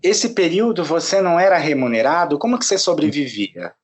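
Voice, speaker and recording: A man's voice: a little high, animated, a little fast. A man hosting a food interview, introducing his guest and asking leading questions.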